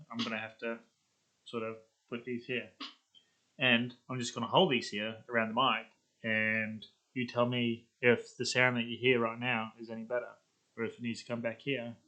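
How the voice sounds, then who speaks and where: A man's voice: mid-range voice, pushed and bright, low-quality recording. A man talking informally and thinking aloud in a casual vlog style. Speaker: video creator.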